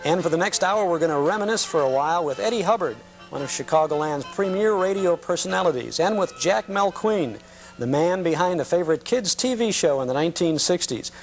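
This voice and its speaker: A man with a fairly high voice hosts a nostalgia talk show, introducing and questioning guests conversationally, with some music in the recording. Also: animated, fairly quick. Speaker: host of a nostalgia interview show.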